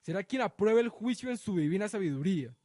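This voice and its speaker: A self-righteous villain of radio drama, a male regent acted by a man with a high voice. He declaims pompously and cruelly, as one who sits in judgment. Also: expressive, quick pace, a little mellow. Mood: stern, accusing.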